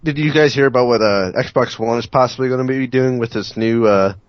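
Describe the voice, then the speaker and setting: A man's voice: medium pitch, a little fast, dry recording. A young man co-hosting a podcast, talking hesitantly with lots of fillers in casual chat. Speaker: podcast co-host. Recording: dry, dull lo-fi home recording.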